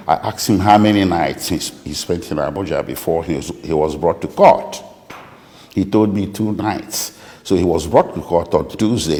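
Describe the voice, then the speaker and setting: A man with a Nigerian accent answering interview questions as a lawyer, speaking deliberately and haltingly with precise detail. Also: sits low, darkish tone. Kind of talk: interview answers recounting a client's account. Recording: echoey room with long reverb.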